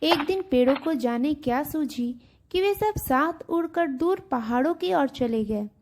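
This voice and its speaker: A woman with a high voice narrates a children's storybook tale from a script. Faint noise and chirping birds sit behind her. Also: expressive, unhurried.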